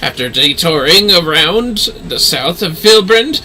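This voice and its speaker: A man performs a haughty fantasy-game nobleman and astrologer. He is pompous and florid, with a bright, forceful edge and big swoops in pitch. His delivery is grand and easily delighted. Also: expressive, unhurried, heavily acted. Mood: haughty, dry.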